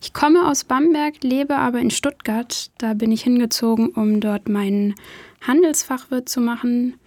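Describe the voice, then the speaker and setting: A woman in her early twenties introducing herself casually, with frequent hesitant pauses. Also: fairly high pitch, darkish tone, dry recording. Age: 20s. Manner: hesitant, with fillers. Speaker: documentary interviewee.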